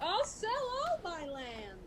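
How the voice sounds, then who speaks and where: A woman playing a foolish, lovesick Venetian gentleman in a Shakespeare radio play, plaintive and melodramatic. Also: very high voice, wildly swooping pitch, slow pace, slight background noise, heavily acted. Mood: meekly hopeful.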